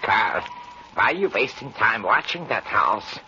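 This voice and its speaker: A man's voice: fairly low pitch, sing-song, unhurried, sharp tone, exaggerated voice. An actor playing a nervous young male escaped soldier who talks like an anxious, eager follower, in 1940s radio acting style. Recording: dull, faintly hissy 1940s radio.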